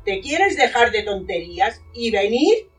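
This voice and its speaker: A woman voicing a bossy, cranky old mother's ghost with a hoarse voice in an amateur radio play. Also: fairly high pitch, very animated, measured pace, soft background music, heavily acted. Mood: angry, impatient. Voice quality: hoarse, husky.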